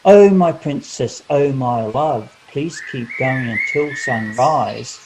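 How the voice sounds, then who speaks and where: A man voicing a mysterious, loving forest figure in a storybook, gently pleading. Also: mid-range voice, slow, noisy background. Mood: tender pleading.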